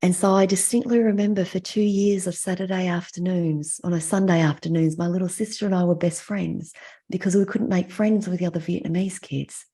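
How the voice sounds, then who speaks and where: A middle-aged woman with an Australian accent giving a reflective prepared talk with personal stories, speaking in measured, deliberate phrases with pauses. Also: on the low side, steady tone, warm tone, husky. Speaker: mental health clinician giving a talk.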